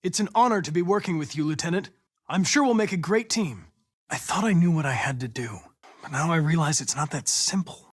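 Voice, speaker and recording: A man's voice: a little high, a little fast, dry recording, studio quality, lightly acted. A man playing a polite, determined young android police investigator in a video game, with formal, precise, controlled diction. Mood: polite, composed, then regretful.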